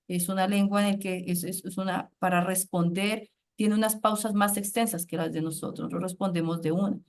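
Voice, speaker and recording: A Colombian woman professor leading a class discussion in a voice of middle pitch. She speaks with animation, often breaks off to restart, and keeps checking in with her listeners through quick rhetorical tags. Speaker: university professor.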